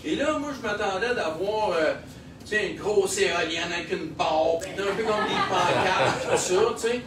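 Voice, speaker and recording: Québécois man, slightly high voice, animated event speech, echoey room, distant mic, noisy. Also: moderate pace, a crowd in the background. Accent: Québécois French. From Quebec. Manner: animated, chuckling, many fillers. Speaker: microbrewery owner. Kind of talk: speech at a local launch event.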